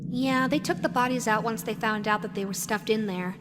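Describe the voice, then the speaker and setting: Woman voicing a high-pitched, sweetly creepy animatronic fox. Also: brisk, natural voice. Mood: wistful, eerie. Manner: dreamy, lingering, repeats words softly. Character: lonely, unhinged, possessive animatronic fox, childlike and sweetly sinister. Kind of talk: scripted audio roleplay addressed to the listener. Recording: long hall-like reverb, music underneath. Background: background music.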